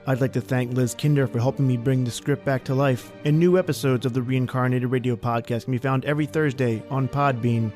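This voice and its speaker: A man's voice: medium pitch, a little mellow. A man hosting a podcast, reading a scripted outro to listeners. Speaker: podcast host.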